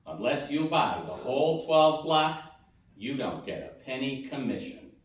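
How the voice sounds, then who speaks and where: A man's voice: mid-range voice, expressive, a little slow, natural voice. Man playing a curt tycoon, very echoey. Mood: demanding. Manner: curt, commanding. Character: cold, domineering, ruthless business tycoon. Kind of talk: live radio play acting. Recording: very echoey hall, distant microphone.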